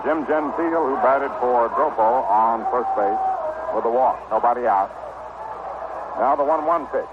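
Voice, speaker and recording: A man calling baseball play-by-play in measured, clipped phrases, in the style of a 1960 radio broadcast. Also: medium pitch, narrow, tinny audio, heavy background noise.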